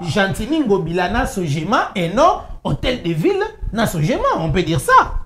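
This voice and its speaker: A woman's voice: medium pitch, sing-song, moderate pace, a crowd in the background. Probing woman host. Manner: probing, interjects with short prompts, mixes in Lingala. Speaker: talk-show host. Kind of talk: talk-show interview.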